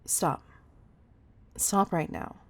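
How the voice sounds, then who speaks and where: A woman playing a stern, commanding chief executive in a scripted audio roleplay, exasperated and deliberate in her emphasis. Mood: exasperated, scolding. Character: stern, commanding female CEO scolding an employee.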